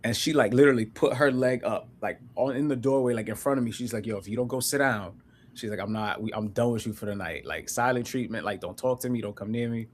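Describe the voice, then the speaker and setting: A man who is a guest on a panel, telling a personal story in an animated way and acting out the lines of the people in it. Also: mid-range voice, fast, a little hiss or hum. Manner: animated, laughing, quotes others in a lively way. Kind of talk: casual storytelling.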